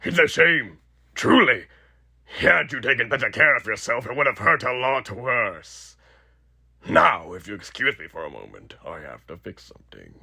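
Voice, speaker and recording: A man voicing a sadistic, elderly undead killer animatronic in a horror roleplay, raspy and theatrical, with mocking menace and muttered asides. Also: mid-range voice, lively intonation, moderate pace, sharp tone, full character voice. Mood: irritated, muttering. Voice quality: raspy, gravelly.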